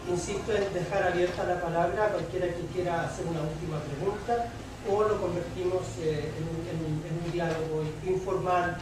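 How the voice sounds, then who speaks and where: A man moderating a public debate, speaking hesitantly with pauses between short phrases. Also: sits high, fairly even intonation. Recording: very echoey room, distant mic, clear noise.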